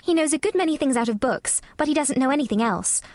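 An eager young English girl from a storybook, as a woman reader puts her on. Her voice is high and sits in a dry, echo-free recording. She speaks properly and very earnestly. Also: animated, fast, a little mellow. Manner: proper, earnest child's diction.

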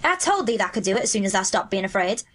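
A woman's voice: medium pitch, big pitch swings, rapid-fire, a bit bright. A woman voicing a cheerful country boy in a storybook, speaking dialect.

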